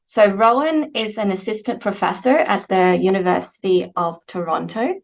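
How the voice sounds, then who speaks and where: Brief: session chair, woman with a gravelly voice, measured introduction with some fillers, dull lo-fi call audio. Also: medium pitch. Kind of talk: formal introduction. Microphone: video call.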